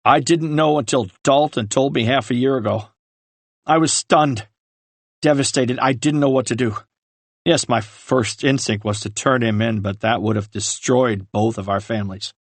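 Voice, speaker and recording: A man playing a low-voiced pleading brother. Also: moderate pace, dry recording, close to an everyday voice. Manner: low, earnest, explaining. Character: remorseful older brother, pleading and defensive. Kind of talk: audiobook character reading.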